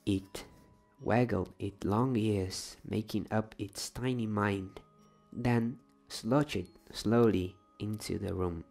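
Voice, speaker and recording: A soft-spoken man reading a novel as an ASMR bedtime story in a hushed, deliberate, dramatised way. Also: fairly low pitch, fairly even intonation, creaky, faint music behind.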